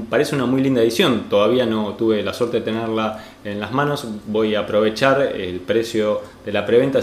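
A man with an Argentine accent presenting a podcast and chatting as its host. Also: fairly low pitch. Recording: roomy echo, faint music behind.

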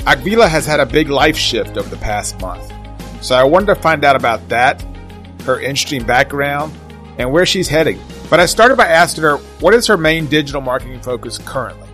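A man's voice: medium pitch, animated, a little fast, a bit bright, faint music behind. A middle-aged man hosting a podcast, interviewing in a conversational style. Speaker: podcast host. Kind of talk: conversational interviewing with scripted intro.

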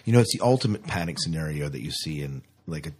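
A man's voice: extremely low pitch, animated, fast, a little mellow, breathy edge. A man hosting a podcast, chatting casually and a little hesitantly as he reviews films. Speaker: podcast host. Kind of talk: casual film review chat.

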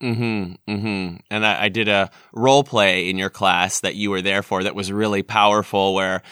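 Male podcast host, crisp bright voice, casual and reflective, close dry sound. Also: fairly low pitch, fairly even intonation, moderate pace, dry recording. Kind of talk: casual, reflective interview chat.

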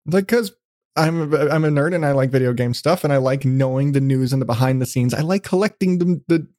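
A man's voice: medium pitch, brisk, a little mellow, no echo, clean studio sound. A man hosting a casual podcast interview, talking in a rambling, self-deprecating way with casual swearing. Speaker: podcast host.

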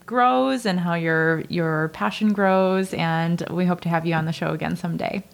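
A woman hosting a podcast interview, warm and affirming in casual chat. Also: on the low side, moderate pace, gravelly. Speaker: podcast host.

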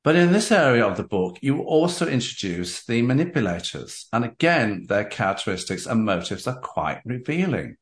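A man's voice: mid-range voice, sing-song, normal speed, few pauses, echoey room. A man hosting a book interview, speaking emphatically and repeating phrases and tag questions for effect. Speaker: host of a book talk show. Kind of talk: interview, discussing a book with its author.

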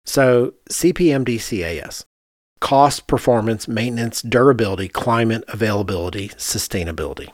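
Low-pitched man explaining in casual talk. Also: moderate pace, no echo, studio quality. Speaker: podcast co-host, architect.

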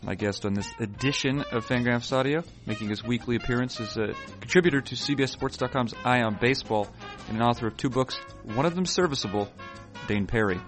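A man hosting a podcast, talking in a wry, halting way full of fillers, sounding sleepy early in the morning in a hotel room. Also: medium pitch, music in the background. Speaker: podcast host. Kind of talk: rambling podcast intro and chat.

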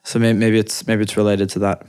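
A man with an Irish accent, a startup founder joking and telling stories in a relaxed, casual chat. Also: on the low side, clean tone. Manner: relaxed, joking, drawn-out storytelling.

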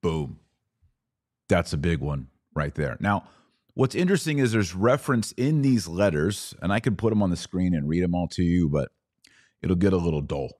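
A man's voice: low voice, animated, normal speed, darkish tone, no room sound, clean studio sound. An American man hosting a podcast, giving news commentary in a conversational, emphatic manner. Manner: conversational, emphatic, rhetorical questions. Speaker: podcast host. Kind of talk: news commentary to a live online audience, reading out quotes.

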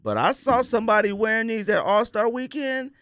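A man with an animated, wide-ranging pitch, recorded dry and close. He chats as a casual podcast co-host, slangy and opinionated. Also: brisk, no room sound. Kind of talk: casual banter about sneakers.

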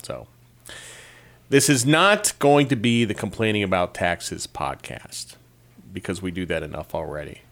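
Wry, low-voiced man telling anecdotes. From Connecticut, USA. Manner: conversational, wry, rambling anecdotes. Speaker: podcast host. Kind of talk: casual monologue.

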